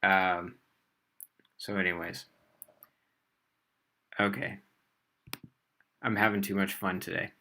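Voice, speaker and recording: A man's voice: sits low, level tone, slow, long pauses, sharp tone, a little hiss or hum. A man teaching physics on a casual livestream, chatting with fillers and laughing at himself as he tries out beginner Spanish. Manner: chatty, rambling, with frequent fillers.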